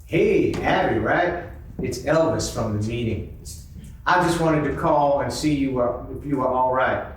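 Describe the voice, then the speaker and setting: A man playing a pushy, smooth-talking male cat in a live sock puppet show. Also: medium pitch, measured pace, natural voice. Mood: friendly, insinuating. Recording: echoey convention room, far mic, faint noise.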